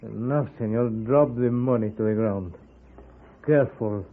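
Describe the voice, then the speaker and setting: Mexican outlaw on old tinny radio. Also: male voice, fairly low pitch. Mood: wary, controlled. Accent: Mexican Spanish. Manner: measured, formal, commanding. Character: cautious commanding Mexican outlaw holding up a rider. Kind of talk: 1950s Western radio acting. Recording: muffled, narrow-band 1950s radio recording, noisy.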